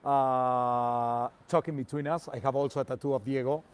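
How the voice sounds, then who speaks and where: A man from Argentina whose first language is Spanish, a soccer commentator speaking careful, halting English with many fillers and a Spanish accent as a guest on a remote call. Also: mid-range voice, fairly even intonation, clean tone. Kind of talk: casual interview by remote call.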